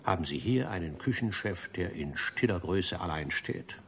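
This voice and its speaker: An actor playing a pompous, fastidious gentleman gourmet in a German radio drama, lecturing formally and rhapsodizing about food. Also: low-pitched, lively intonation. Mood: lecturing, fervent. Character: pompous, fastidious gentleman gourmet, obsessively devoted to one restaurant.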